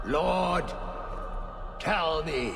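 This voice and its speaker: A man's voice: on the high side, extremely slow, vocal fry, full character voice. A man playing an ancient corrupted magister-monster in a fantasy video game, imperious and fanatical, speaking in grandiose, archaic, commanding oratory. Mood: bewildered anguish. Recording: long cavernous reverb, music underneath.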